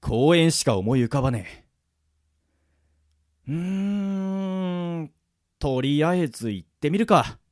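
A man's voice: fairly high pitch, lively intonation, slow, long pauses, darkish tone, no room sound, studio quality. A man playing a skeptical, grumbling young man in a radio drama, speaking bluntly and casually. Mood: puzzled, muttering. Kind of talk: Japanese radio drama acting with monologue.

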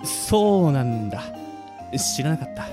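A man acting as a mild, caring young male friend with gentle, casual speech in a Japanese audio drama. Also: mid-range voice, sing-song, a little slow, over music. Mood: worried.

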